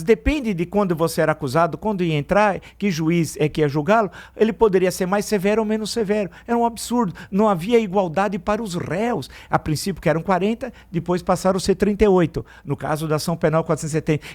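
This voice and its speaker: Middle-aged Brazilian male radio pundit, slightly high voice, outraged, emphatic, digressive. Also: darkish tone. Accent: Brazilian Portuguese. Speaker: radio commentator. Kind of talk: opinion commentary on morning radio news. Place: radio studio.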